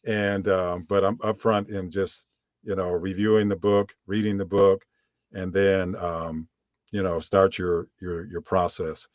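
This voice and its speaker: A man with a deep voice, an audiobook narrator and coach, answers interview questions in a relaxed, rambling way with many fillers. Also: unhurried, no room sound, studio quality.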